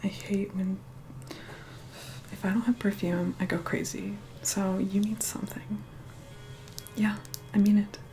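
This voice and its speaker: A woman's voice: mid-range voice, flat delivery, unhurried, long pauses, slight background noise, close to an everyday voice, rough recording. A woman playing a haughty, impatient immortal vampire in a whispered ASMR role-play, curt, condescending and bossy as she reluctantly helps. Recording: close whispered voice under loud music. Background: music.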